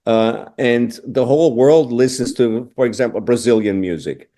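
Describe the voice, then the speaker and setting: A middle-aged man from Austria, a drummer answering interview questions thoughtfully, often pausing with "you know". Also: mid-range voice, dark tone. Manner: thoughtful, restarts phrases, frequent "you know".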